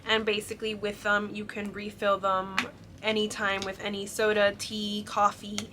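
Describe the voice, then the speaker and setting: A woman's voice: mid-range voice, monotone, moderate pace, noisy background. A young woman chatting casually to camera, her voice stuffy and congested from a cold, filling her talk with ums and likes. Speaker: vlogger showing a shopping haul.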